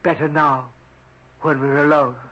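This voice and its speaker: An actor playing an otherworldly male servant who grants wishes, speaking in a slow, measured, formal way. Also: fairly high pitch, flat delivery, a bit bright, slight background noise. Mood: sinister calm. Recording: dull old radio broadcast.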